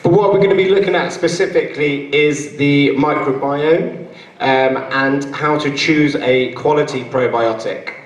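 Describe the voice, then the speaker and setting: A man with a croaky voice giving a conference talk as a nutritionist. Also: on the high side, fairly even intonation, a little slow. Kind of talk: conference presentation. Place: conference hall. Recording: echoey hall.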